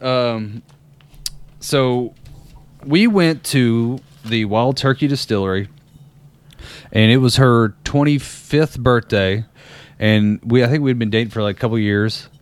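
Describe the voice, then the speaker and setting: A young man co-hosting a podcast, wandering through a story in a loose, rambling way and laughing as he goes. His voice sits at an ordinary middle pitch, and the recording is close and dry. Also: takes it slowly, smooth, no room sound. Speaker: podcast co-host. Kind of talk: casual chat.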